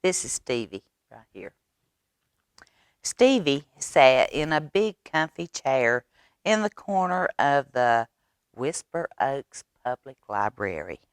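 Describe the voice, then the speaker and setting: A woman reading a picture book aloud to children in a dramatic, expressive way, drawing out words. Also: very deep voice, no echo, clean studio sound. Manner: dramatic, expressive, with drawn-out words and hushed asides. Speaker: library storyteller reading to children. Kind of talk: animated picture-book read-aloud with sound effects.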